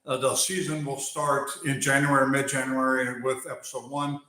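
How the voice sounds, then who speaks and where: A man from Germany with an American accent, hosting a live stream on his wildlife channel and talking unhurriedly with many pauses while he reads viewer chat. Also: mid-range voice, flat delivery, bright, forceful tone. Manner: unhurried, pausing with fillers, answering chat between thoughts. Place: outdoors or open area while working on a bike. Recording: very echoey space.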